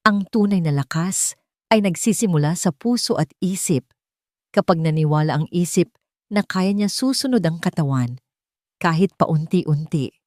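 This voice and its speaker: Woman voicing a wise, gentle old Filipina housemaid. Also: on the low side, animated, moderate pace, no echo, studio quality, natural voice. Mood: encouraging.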